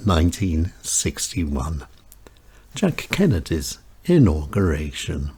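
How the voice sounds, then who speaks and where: Husky, slow-paced male narrator. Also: on the low side, a little mellow. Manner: measured, deliberate, dramatic short phrases with pauses. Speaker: author reading own short stories and essays. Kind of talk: scripted narration, spoken essay and story.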